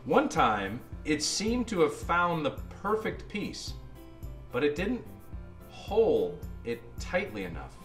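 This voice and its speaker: A man tells a children's story with lively, swooping intonation and a fairly high pitch. His delivery is dramatic and deliberate. The room adds noticeable echo. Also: gravelly, faint music behind. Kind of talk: children's picture book read-aloud.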